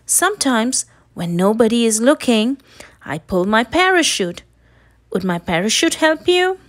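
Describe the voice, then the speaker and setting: A woman's voice: a little high, sing-song, measured pace, fairly bright tone, dry recording, clean studio sound. A woman voicing a nervous little storybook bird with a confiding, helpful manner.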